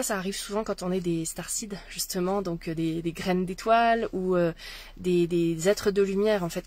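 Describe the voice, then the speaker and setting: A woman's voice: medium pitch, no room sound. A woman from France, a spiritual coach, talking casually to her listener in a hesitant way, with many fillers and restarts. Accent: French. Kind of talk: casual spiritual monologue to camera.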